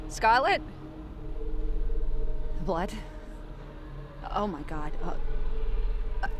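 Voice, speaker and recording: An actress playing a tough, troubled police detective in a suspense audio drama, muttering and halting as she speaks. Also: fairly low pitch, noisy background. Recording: dramatic music behind.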